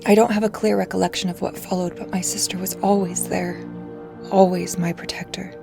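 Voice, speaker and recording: Woman doing a scared confused patient in a horror story, low flat whispery and haunted, music under it. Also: a little fast, gravelly. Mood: haunted, remembering. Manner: hushed, haunted, often whispering. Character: confused, frightened patient waking blind and immobile. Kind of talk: first-person horror audio fiction.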